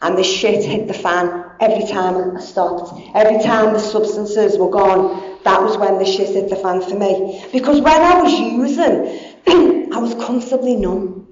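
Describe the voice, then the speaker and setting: A woman's voice: medium pitch, measured pace, a little mellow. A woman, giving a candid, blunt personal recovery talk with plenty of swearing. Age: 40s. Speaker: speaker in recovery. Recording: echoey dull hall sound.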